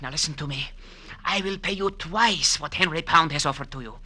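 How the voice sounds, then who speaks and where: High, sharp-voiced pushy Eastern European man. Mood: urgent, insistent. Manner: halting, insistent foreign phrasing. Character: short, dumpy, hot-tempered Romanian man, shifty and pushy. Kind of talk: 1940s radio drama acting.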